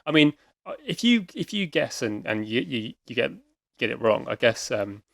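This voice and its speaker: Gravelly-voiced man hosting a quiz. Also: medium pitch, very animated, normal speed, no echo, studio quality. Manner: chatty, explains at length, repeats words while thinking. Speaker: quiz host. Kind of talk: casual podcast chat.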